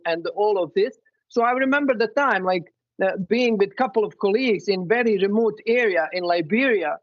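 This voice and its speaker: A man's voice: high voice, no echo, dull audio. A man from Bosnia and Herzegovina, a former coach, talking earnestly on a webinar panel with many restarts.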